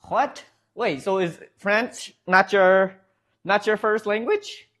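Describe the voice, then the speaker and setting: A man's voice: sits high, takes it slowly, a bit bright. A man with a Midwestern American accent streaming from home, rambling casually to his chat and laughing often.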